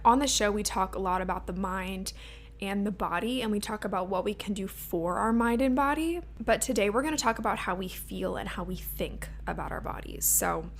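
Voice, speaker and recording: Young woman podcast host, slightly raspy, chatty and candid, medium pitch, faint hum behind. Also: a little fast. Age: 20s. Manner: chatty and open, many "like" fillers. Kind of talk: casual solo podcast talk.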